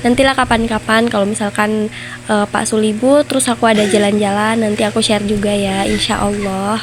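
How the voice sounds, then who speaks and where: A woman's voice: mid-range voice, flat delivery, fairly quick, noisy background. A young woman with a nasal voice, congested from flu, chatting casually to viewers in a homemaker's vlog voice-over. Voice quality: nasal, stuffy. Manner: chatty, conversational, addresses viewers as friends. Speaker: homemaker vlogger.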